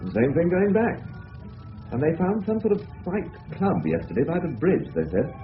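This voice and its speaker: A man's voice: a little high, huge pitch swings, moderate pace. An actor with an English accent playing a bluff, sarcastic, aggrieved middle-aged father in a 1970s British radio drama. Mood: indignant. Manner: bluff, sarcastic, old-fashioned slang. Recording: phone-like old radio broadcast, music behind.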